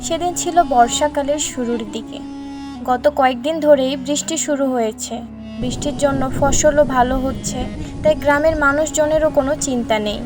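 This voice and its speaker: A woman's voice: high voice, moderate pace, music in the background. A woman narrating a scripted cartoon story as its storyteller.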